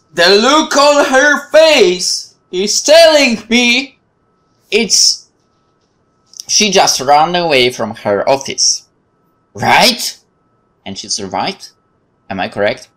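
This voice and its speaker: A man's voice: fairly high pitch, fairly bright tone. A man with a Polish accent reading visual novel text aloud as a let's play host, dramatically, with occasional stumbles and casual asides. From Poland.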